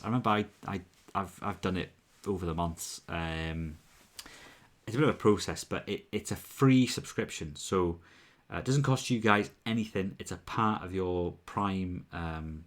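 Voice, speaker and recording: A man with a Welsh accent, mixed with Scottish and northern English, hosting a casual whisky-tasting live stream from home. He chats upbeat and loose with viewers, with lots of ums and restarts. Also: lowish voice. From Wales.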